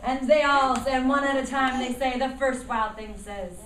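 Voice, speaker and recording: A woman narrating a children's puppet show live, animated and encouraging as she directs the children. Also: high-pitched, moderate pace. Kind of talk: live storytelling to young children. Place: indoor room at a school show. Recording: noisy echoey room, distant microphone.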